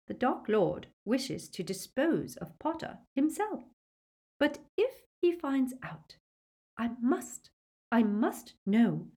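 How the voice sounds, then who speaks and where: A woman voicing an unhinged, imperious villainous witch in an audiobook, sharp and commanding. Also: on the high side, sing-song, takes it slowly, breathy edge, voice pushed up, exaggerated voice. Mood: anxious, urgent.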